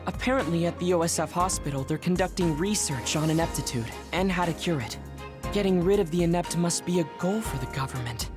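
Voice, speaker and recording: A man playing a composed, knowledgeable squad member who explains things in a measured way in a video game. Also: fairly high pitch, over music, lightly acted. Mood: calm, informative. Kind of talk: video game cutscene voice acting.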